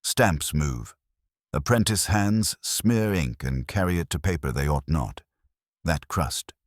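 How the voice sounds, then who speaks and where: Man playing guarded female baker, audiobook mystery, terse, defiant, husky, low. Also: lively intonation, takes it slowly, no echo, clean studio sound, exaggerated voice. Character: stern, guarded woman baker, tall and spare.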